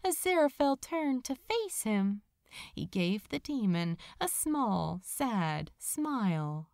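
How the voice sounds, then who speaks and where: Slow, expressive woman narrating a story. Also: fairly high pitch, no room sound, clean studio sound. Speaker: fan fiction narrator. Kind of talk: dramatic scripted story narration.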